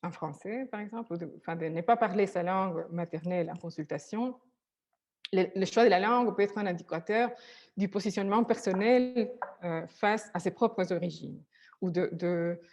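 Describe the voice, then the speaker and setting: A woman's voice: on the low side, moderate pace, darkish tone. A woman therapist whose first language is Spanish, speaking hesitantly with many restarts and fillers.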